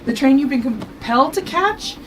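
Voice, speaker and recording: A woman's voice: high-pitched, animated, moderate pace, noisy background. A woman playing a practical, worried companion in an audio drama, questioning and no-nonsense in manner. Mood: firm, worried. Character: practical, anxious female companion of a time traveller.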